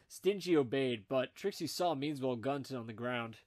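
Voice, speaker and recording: A man narrating fan fiction in an amateur comedic group reading, animated and dropping wry asides. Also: mid-range voice, moderate pace.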